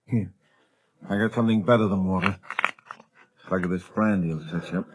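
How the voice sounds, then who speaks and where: An actor playing a rough, cocky henchman with slangy, excitable tough-guy talk in a 1930s radio drama. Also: sits low, a little fast, noisy background, lightly acted. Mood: gruff coaxing. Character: rough, cocky crook henchman who panics easily.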